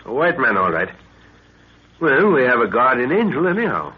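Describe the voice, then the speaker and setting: An actor playing a fatherly, decisive middle-aged professor and explorer in a husky voice, in 1930s radio drama style. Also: medium pitch, sing-song, normal speed, a crowd in the background, close to an everyday voice. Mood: pondering, fatherly. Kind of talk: 1930s radio adventure acting. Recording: tinny, narrow-band 1930s radio recording.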